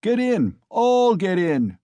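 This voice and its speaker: A man's voice: sits high, sing-song, slow, dark tone, clear, resonant tone, no echo, voice pushed up, heavily acted. A man voicing a drunken, thick-necked young peasant who bellows boastful, cruel threats. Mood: brutal rage.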